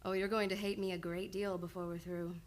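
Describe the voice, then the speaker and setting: A woman's voice: medium pitch, level tone, a little fast, lightly acted. A woman playing a composed, earnest psychoanalyst in an old-time radio play, probing and insistent with a patient she cares for. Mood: wry, composed. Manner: insistent, probing, clinical yet affectionate. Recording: live radio play with background noise.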